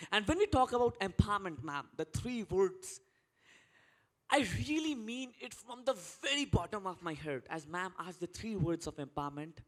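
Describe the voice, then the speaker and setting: A man's voice: high voice, fairly bright tone. A young man giving a motivational speech on a panel in an emphatic, dramatic, rallying manner. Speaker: panelist, youth motivational speaker.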